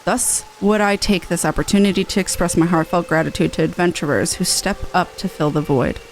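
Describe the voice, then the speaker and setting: A woman's voice: fairly low pitch, brisk, slight background noise, natural voice, low-quality recording. A woman voicing a formal, grateful city soldier in a fantasy game, with a courteous and official manner. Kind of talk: fantasy game character read-aloud.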